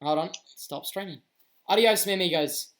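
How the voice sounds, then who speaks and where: A man's voice: high-pitched, moderate pace, heavy background noise. Hype-man co-host echoing every name. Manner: hypes and repeats each announced name. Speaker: quiz co-host. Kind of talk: livestream co-hosting.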